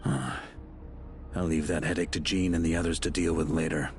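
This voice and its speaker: A calm detective type in a video game, really deep voice, measured and analytical, bit of music behind. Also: male voice. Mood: detached, pensive. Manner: measured, matter-of-fact.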